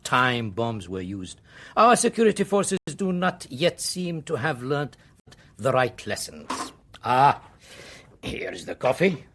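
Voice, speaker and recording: An actor playing a suave, faintly menacing Syrian minister in a radio drama, husky and formally polite. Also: male voice, medium pitch, big pitch swings, takes it slowly, fairly bright tone, slight background noise, full character voice. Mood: suave, pointed.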